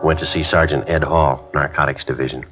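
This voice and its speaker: A terse police detective in an old radio drama, reading off times and facts in a clipped, deadpan way. His voice sits very low. The broadcast sounds dull and lo-fi, with music under it. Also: a little fast. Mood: flat narration. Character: terse, matter-of-fact male police detective, adult. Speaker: detective and narrator. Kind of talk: 1950s radio drama acting.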